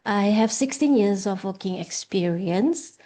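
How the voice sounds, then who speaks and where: A woman, calmly introducing herself as a master's student to her class. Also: medium pitch, measured pace. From Sabah, Malaysia.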